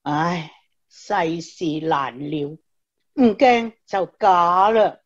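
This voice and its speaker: Woman acting a slow, grumbling, skeptical friend. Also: lowish voice, animated, lo-fi sound, exaggerated voice. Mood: gloomy, resigned. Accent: Hong Kong Cantonese. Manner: drawn-out, sighing complaints. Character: grumbling, skeptical, fun-loving young female friend. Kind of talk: Cantonese radio drama dialogue.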